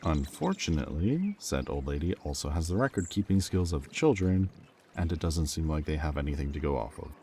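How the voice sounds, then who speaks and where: A man's voice: extremely low pitch, very animated, a little fast, warm tone, slight background noise. A man acting a wry, loyal ex-villain thief in a roleplay audio drama, talking casually, both teasing and earnest. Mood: wry, questioning.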